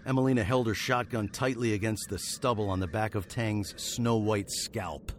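A man's voice: fairly low pitch, steady tone, moderate pace, a little hiss or hum, close to an everyday voice. An actor playing a wry fugitive western hero, narrating his tale in a literary, sardonic way for a radio drama. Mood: grave, wry.

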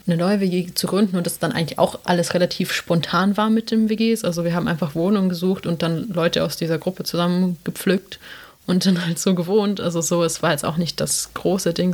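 A woman's voice: on the low side, darkish tone. A young woman from South Tyrol with a South Tyrolean German accent, chatting casually on a podcast, rambling with many fillers. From South Tyrol, Italy. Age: young adult. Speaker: podcast co-host. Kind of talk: casual chat.

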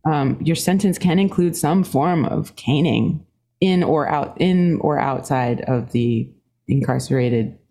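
Low mellow-voiced animated woman. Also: unhurried, creaky. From Singapore. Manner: animated storyteller, pitch jumps up, laughs often. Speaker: guest musician, saxophonist. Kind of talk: conversational podcast interview.

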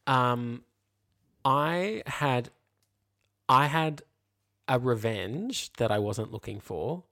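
Gravelly, bright-voiced podcast co-host telling stories. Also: medium pitch, very slow. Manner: animated anecdotes with quoted dialogue. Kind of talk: casual comic storytelling chat.

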